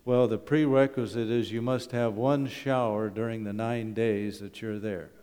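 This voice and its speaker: A man's voice: on the low side, fairly even intonation, moderate pace, dark tone, clear, resonant tone. A middle-aged or older man gives a humorous, conversational talk to a church congregation, often posing rhetorical questions. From Canada. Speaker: wilderness school principal giving a presentation at church. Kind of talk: folksy public talk with slides. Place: church hall, talking to a congregation. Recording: echoey hall with long reverb.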